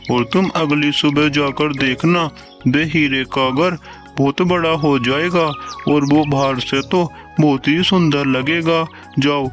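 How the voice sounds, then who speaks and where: A man voicing a kindly, helpful male animal in a children's cartoon fable, instructive and emphatic. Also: fairly high pitch. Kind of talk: Hindi children's cartoon storybook dialogue. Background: loud music.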